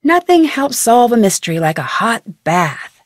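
A woman with an American accent playing a plucky, curious teenage girl detective in a video game, thinking aloud in a clear, chipper way. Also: fairly high pitch, sing-song, measured pace, no room sound, clean studio sound. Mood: matter-of-fact. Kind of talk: scripted video game voice lines.

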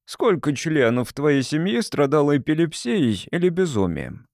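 A man's voice: fairly high pitch, sing-song, normal speed, creaky, a little mellow, dry recording, studio quality. A man voicing a stern, irascible monsignor who questions sharply and suspiciously in an audiobook. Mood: stern, accusing. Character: stern, irascible devil's advocate monsignor, suspicious male interrogator.

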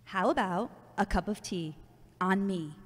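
A woman's voice: mid-range voice, measured pace, close to an everyday voice. A woman playing a forward, friendly, flirty woman in an audio drama. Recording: long echoey reverb.

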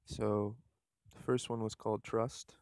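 A man leading a guided meditation outdoors, speaking softly and haltingly, with unhurried instructions and long pauses. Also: on the low side, flat delivery, no room sound.

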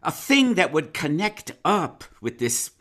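An actor playing a smug, condescending county attorney in a radio play, patronizing and full of chuckles and pauses, recorded in a home closet. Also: male voice, on the high side, big pitch swings, fairly bright tone, full character voice. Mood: musing. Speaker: Atty. Henderson, county attorney. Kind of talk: live radio play acting.

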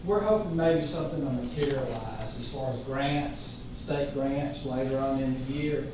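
A man presenting a school budget at a board meeting, explaining slowly with many fillers. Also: medium pitch. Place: large meeting room. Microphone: distant room mic. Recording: echoey room, far from mic, room noise.

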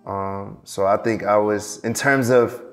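Soft-spoken young man, flat low voice. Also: soft background music. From Morocco, raised in Seattle. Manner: halting, many fillers and restarts, soft-spoken. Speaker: guest, performing artist. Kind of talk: casual interview answers. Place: dark studio set.

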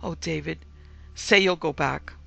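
A man's voice: on the high side, a little slow, creaky, a bit bright, heavily acted. A man voicing an anxious, tearful middle-aged wife and mother in an audiobook, pleading dramatically. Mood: wailing, pleading. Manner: sobbing, wailing, dramatic pleading. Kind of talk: audiobook character acting.

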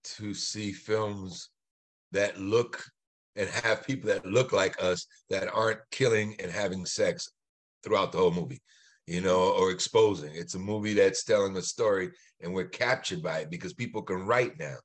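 A man's voice: sits low, moderate pace. A man speaking emphatically and with opinion in a casual panel chat over a video call. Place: indoors on a group video call. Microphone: video call mic.

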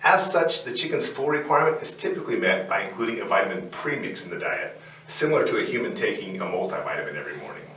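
Man, 40s, co-host, plain low-voiced explainer, punches key terms, distant roomy mic. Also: sing-song, quick pace, pushed and bright. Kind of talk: scripted educational explainer. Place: indoor studio set with barn-wood backdrop. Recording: roomy echo, distant mic, faint noise.

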